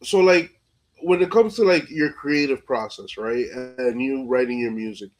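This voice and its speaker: A man's voice: mid-range voice, moderate pace. A man hosting a casual interview, speaking in informal, slangy phrases peppered with filler words. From New York, USA. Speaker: podcast host interviewer.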